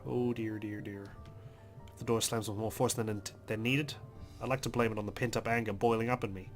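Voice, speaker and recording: Low-voiced man reading game narration. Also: fairly even intonation, brisk. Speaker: let's player. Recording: music behind the voice.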